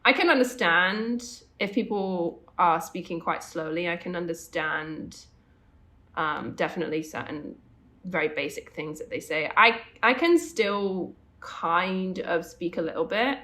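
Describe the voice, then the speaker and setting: Brisk bright-voiced young woman chatting. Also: fairly low pitch. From Hong Kong. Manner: rambling, self-correcting, quick asides. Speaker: podcast co-host. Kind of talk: casual chat.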